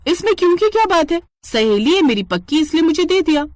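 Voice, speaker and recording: A woman's voice: extremely high pitch, sing-song, a little fast, no room sound, pitched above the performer's own voice. A woman voicing a vain, jealous and greedy young daughter-in-law in an exclaiming, self-satisfied way, in a storybook tale. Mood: boastful.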